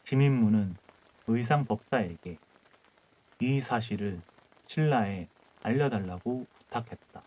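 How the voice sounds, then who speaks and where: A man reads a folk tale at bedtime in calm, measured phrases. His voice sits in a middle register. The recording sounds a little dull and muffled. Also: mid-range voice. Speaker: audiobook narrator.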